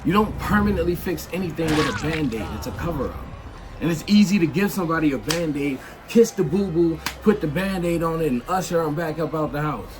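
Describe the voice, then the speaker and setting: A man streamer ranting in loud, emphatic, profane commentary. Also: on the high side, expressive, fairly quick, music in the background. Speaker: game streamer.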